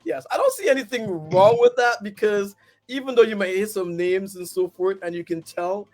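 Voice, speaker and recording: A man's voice: high voice, moderate pace, darkish tone, soft background music. A man from Guyana hosting an interview, friendly and prone to repeating himself with lots of "um". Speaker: podcast host. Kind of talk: casual interview.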